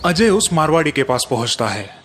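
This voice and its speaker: A man's voice: on the high side, big pitch swings, brisk, a bit bright. A man narrating a scripted story as its storyteller.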